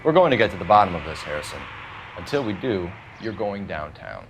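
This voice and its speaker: A stern 1940s american detective, lowish voice, clipped questions, game cutscene w music behind. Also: male voice, very animated, brisk, rough recording. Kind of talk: dramatic game cutscene acting.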